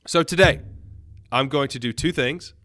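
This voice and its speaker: Bright-voiced man, nasal with a cold. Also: mid-range voice, moderate pace, no echo, clean studio sound. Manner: upbeat, earnest, encouraging. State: has a cold, a bit nasal. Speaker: podcast host. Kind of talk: solo motivational podcast talk.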